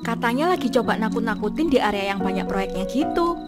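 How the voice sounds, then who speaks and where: Woman doing excitable cartoon grill cook, high swoopy voice, music loud behind. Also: fairly quick, natural voice. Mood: defensive. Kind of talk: comic cartoon dubbing.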